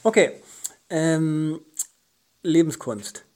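A podcast host who works through a list of interview questions. The delivery is halting and full of hesitant fillers, with a mid-range pitch. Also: expressive, darkish tone.

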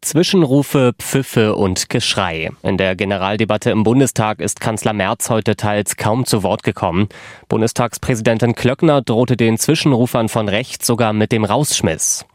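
A man reading the radio news as studio anchor in a measured, formal way. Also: fairly low pitch, no echo. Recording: dry studio sound.

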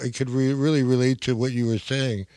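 A man's voice: medium pitch, level tone, fast, mellow, dry recording. A man near seventy hosting an interview, chummy and enthusiastic, often exclaiming in surprise. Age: older. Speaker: interviewer host. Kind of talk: casual interview chat.

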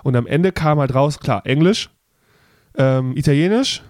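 A man's voice: mid-range voice, lively intonation, measured pace, a little mellow, no echo, clean studio sound. A man in his thirties hosting a podcast in casual chat, talking freely with many fillers and restarts. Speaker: podcast host.

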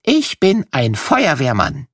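A man puts on an excited firefighter who boasts like a knight. The delivery is exaggerated and riddling, made for a children's story. The pitch leaps about, and the sound is close and dry. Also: takes it slowly, no echo, exaggerated voice. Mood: playful, boastful. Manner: playful, exaggerated.

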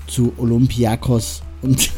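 A man's voice: mid-range voice, little pitch movement, slow pace, dark tone, soft background music. A man hosting a casual radio show, chatting between songs and reading football news, joking about his own pronunciation.

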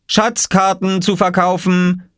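A cheeky little boy from a children's storybook, performed by a man, pitched well up. He is scheming and stubborn, and he talks like an eager little salesman with a lively, swinging intonation. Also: normal speed, dry recording, clean studio sound. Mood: calling out. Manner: eager, matter-of-fact salesmanship.

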